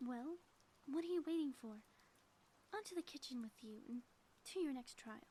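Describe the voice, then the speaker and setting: A high, softly mellow voice of a woman acting as a gloating villainess in a roleplay. She speaks in florid, grandiose phrases with playful menace. There is faint noise behind her. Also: animated, normal speed. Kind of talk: scripted audio roleplay monologue, ASMR.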